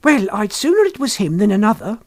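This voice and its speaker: A man's voice: sits high, big pitch swings, a little fast, no echo, voice pushed up. A man voicing a tart, disapproving shopkeeper's wife in an audiobook, blunt and grumbling in colloquial speech. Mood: relieved, disapproving. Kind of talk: audiobook character acting.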